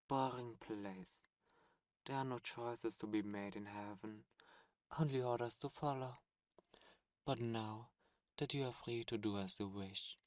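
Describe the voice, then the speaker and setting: A man voicing a seductive ancient demon prince, a fallen angel, speaking softly and slowly in an ASMR roleplay. He coaxes and tempts, close to the microphone. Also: lowish voice, dry recording, lightly acted. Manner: soft, slow, coaxing and tempting.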